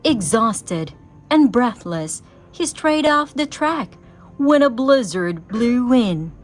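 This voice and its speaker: A woman giving an expressive, theatrical read-aloud of a rhyming children's story. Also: a little high, slow pace, soft background music. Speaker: storybook reader.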